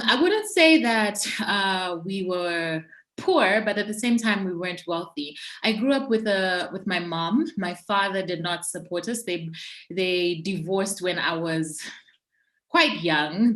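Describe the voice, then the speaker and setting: A woman from Zambia in her forties shares her story as a podcast guest. Her voice sits a touch low and she talks openly and reflectively. The room around her rings with echo. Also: fairly bright tone. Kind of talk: conversational storytelling interview.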